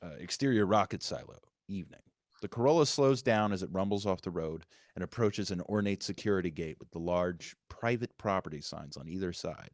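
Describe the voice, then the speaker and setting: Man, scripted narration, stage directions, lowish pitch, dry recording. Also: brisk, dry recording. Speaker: writer reading stage directions. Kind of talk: scripted narration at a live table read.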